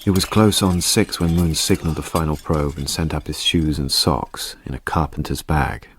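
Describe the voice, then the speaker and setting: A man acting as a reflective narrator in a radio drama, recalling the past in a measured, literary way. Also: rumbling low, fairly even intonation, slightly rough. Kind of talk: scripted first-person drama narration.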